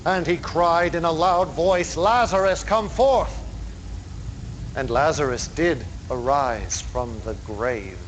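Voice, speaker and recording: An actor playing a messianic, grandiose cult leader, speaking like a deliberate, theatrical preacher in a game cutscene monologue. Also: male voice, a little high, a little hiss or hum, low-quality recording. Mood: booming, biblical.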